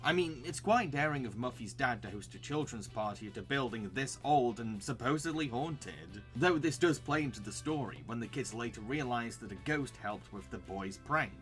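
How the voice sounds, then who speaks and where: Male video host, very bright voice, scripted review narration, enthusiastic and recommending. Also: medium pitch, expressive, moderate pace, few pauses, soft background music.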